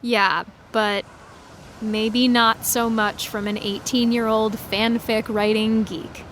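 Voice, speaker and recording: A woman's voice: on the high side, flat delivery, slow, fairly bright tone, a little hiss or hum. A blunt geeky 18 year old girl, sardonic, takes her time talking, audio drama feel. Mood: glum. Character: disillusioned, blunt eighteen-year-old fanfiction writer girl. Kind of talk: naturalistic audio drama dialogue.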